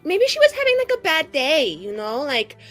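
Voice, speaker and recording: A woman playing a brash, high-voiced young mage. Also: huge pitch swings, moderate pace, fairly bright tone, soft background music, heavily acted. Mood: petulant. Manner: swearing, rambling, dramatic. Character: brash young woman mage, twenty-two, foul-mouthed, petty, begrudgingly apologetic. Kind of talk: improvised in-character roleplay.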